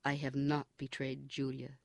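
Male reader doing a shattered, pleading prisoner; gravelly and dry. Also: medium pitch, monotone, moderate pace, no room sound, lightly acted. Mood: subdued. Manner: pleading, strained, tearful. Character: broken, weeping male prisoner. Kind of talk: audiobook character reading.

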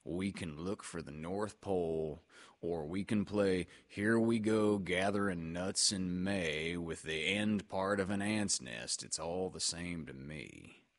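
A man's voice: sits low, slow pace. A man voicing a gloomy, long-suffering donkey who grumbles in a glum, resigned, ponderous way in a children's storybook. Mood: sour, resigned.

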